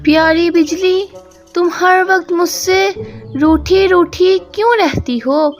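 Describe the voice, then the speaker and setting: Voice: extremely high pitch, fairly even intonation, slow pace, faint music behind. A performer voicing a meek young male husband bird in a Hindi cartoon, plaintive and pleading. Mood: hurt, pleading.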